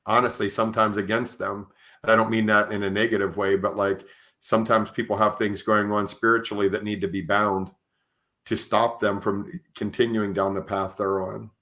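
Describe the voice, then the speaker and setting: A man hosting a conversational podcast, sharing anecdotes in a halting way with frequent "you know". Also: fairly low pitch, level tone, bright, forceful tone. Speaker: podcast host. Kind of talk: conversational interview with personal anecdotes.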